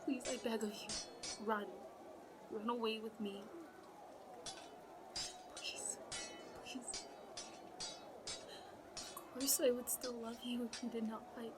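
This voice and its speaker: A woman's voice: on the high side, noisy background. A woman playing an anxious, lovelorn young princess bride in a romantic audio roleplay, speaking formally and hesitantly. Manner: formal regal phrasing, hesitant, breathy pleading.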